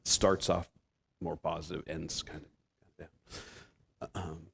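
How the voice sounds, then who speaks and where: A man's voice: rumbling low, little pitch movement, takes it slowly, vocal fry, fairly bright tone, a little hiss or hum. A man in his fifties with a Pacific Northwest American accent, preaching a conversational sermon as a guest preacher, self-deprecating and often restarting his sentences. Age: 50s. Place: church sanctuary.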